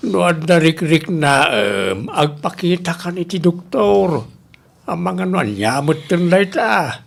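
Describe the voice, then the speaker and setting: A woman's voice: lowish voice, sing-song, slow pace, vocal fry, full character voice. A woman voicing an older father, blunt but caring and exasperated, giving advice in an audio comedy-drama with an Ilocano accent. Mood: exasperated, advising.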